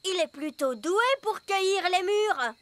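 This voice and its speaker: Very high, earnest cartoon child. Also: female voice, expressive, measured pace, fairly bright tone, slight background noise, exaggerated voice. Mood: helpful. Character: earnest, plucky child elf or fairy. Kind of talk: French cartoon dub.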